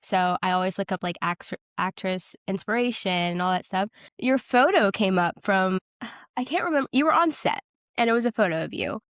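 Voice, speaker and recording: A woman's voice: mid-range voice, lively intonation, moderate pace, a bit bright, no room sound, studio quality. A young woman co-hosting in casual chat, enthusiastic and informal. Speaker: podcast co-host, aspiring actress.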